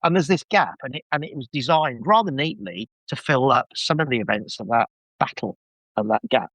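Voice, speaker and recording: A man's voice: medium pitch, expressive, a little fast, fairly bright tone, no room sound, poor audio. A man chatting casually as a podcast guest, giving an enthusiastic fan review full of rambling asides.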